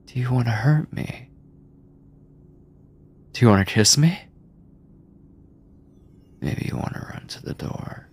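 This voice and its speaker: A man playing an obsessive, controlling mad scientist in an ASMR horror roleplay, speaking deliberately with a breathy, clinical yet doting manner, often near a whisper. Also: medium pitch, slight background noise, close to an everyday voice. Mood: teasing, possessive. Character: obsessive yandere mad scientist, soft-spoken, controlling male captor.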